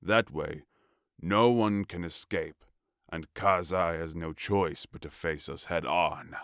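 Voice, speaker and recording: A man playing a large, ruthless warrior king in a fantasy audio story, speaking in a measured, commanding, formal way. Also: sits low, fairly bright tone, no echo, studio quality, exaggerated voice. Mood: commanding, calculating. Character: large, tall warlord king, cold, commanding, ruthless strategist. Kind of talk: audio fiction acting.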